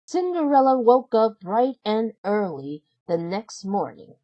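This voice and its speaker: A woman narrates a children's storybook. She reads slowly and deliberately, with animated, expressive intonation. The sound is dry, with no room around it. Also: medium pitch, no room sound, dull audio. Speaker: storybook reader. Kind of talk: children's read-aloud narration.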